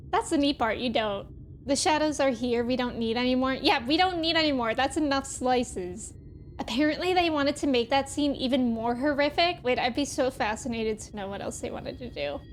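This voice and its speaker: A woman's voice: high-pitched, moderate pace, slight background noise. A woman livestreaming a video game, chatting casually and expressively as she reacts and reads chat messages aloud.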